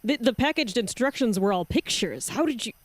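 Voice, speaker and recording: A woman's voice: fairly high pitch, lively intonation, fairly quick, no echo. A woman playing a tabletop game, wry and matter-of-fact in her remarks. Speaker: player.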